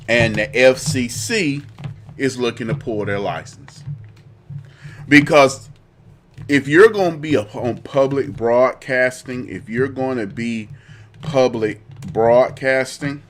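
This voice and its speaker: A man's voice: medium pitch, fairly bright tone. A man hosting a political talk show with a lingering cough, repeating himself as his commentary builds into heated indignation. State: fighting a cough.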